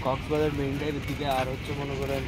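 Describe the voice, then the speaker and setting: A man's voice: mid-range voice, monotone, rapid-fire, rough recording. A man chatting casually outdoors as a local companion, with busy crowd noise. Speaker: local companion, stunt performer. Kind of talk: casual chat.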